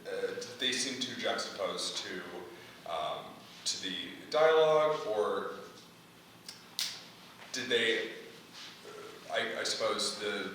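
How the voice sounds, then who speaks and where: A man's voice: lowish voice, animated, slow, long pauses, fairly bright tone. A man leading an informal feedback discussion as the playwright, speaking hesitantly and groping for words. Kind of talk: informal question-and-answer discussion. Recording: very echoey room, distant mic, faint noise.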